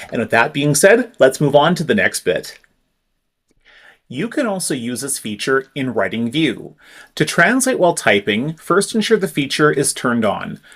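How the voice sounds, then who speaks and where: Male tutorial presenter, mid-pitched voice, clear instructive delivery at a desk mic. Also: a bit bright. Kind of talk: screen-recorded software tutorial.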